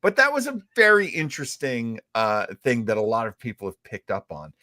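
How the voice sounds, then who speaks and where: A man with a mid-pitched voice in a dry, close recording. He hosts in a conversational, explanatory style, pausing thoughtfully as he goes. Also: wildly swooping pitch, bright, forceful tone, no echo. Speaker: host of a retro radio show.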